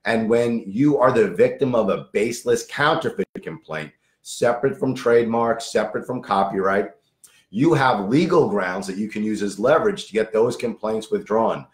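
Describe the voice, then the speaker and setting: A man in his fifties with a hoarse voice, a lawyer giving a direct news briefing and repeating key points for emphasis. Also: fairly low pitch, moderate pace, echoey room. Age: 50s. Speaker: lawyer for online sellers.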